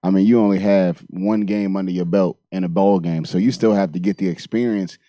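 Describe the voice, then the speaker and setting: A man's voice: low-pitched, steady tone, brisk, warm tone, no room sound, clean studio sound. A man co-hosting a casual sports talk radio show, talking loosely with slang and lots of fillers.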